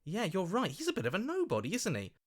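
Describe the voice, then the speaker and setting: A man's voice: high voice, wildly swooping pitch, quick pace, exaggerated voice. A man playing a fickle, self-serving opportunist in a video game, with an ingratiating, wheedling manner. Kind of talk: video game character acting.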